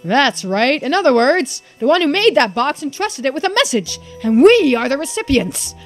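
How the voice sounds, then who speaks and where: A woman voicing an excitable, theatrical curse-bearer in a video game, with dramatic, emphatic declarations. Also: high-pitched, normal speed, soft background music, voice pushed up. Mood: dramatic, excited.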